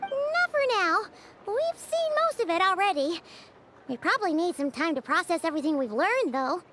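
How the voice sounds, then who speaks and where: A woman voicing a tiny, childlike, cheeky and excitable female fairy companion in a video game, in a squeaky voice. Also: normal speed, heavily acted. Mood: relieved. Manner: squeaky, speaks of herself in third person. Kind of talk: cartoonish scripted game dialogue. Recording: music behind the voice.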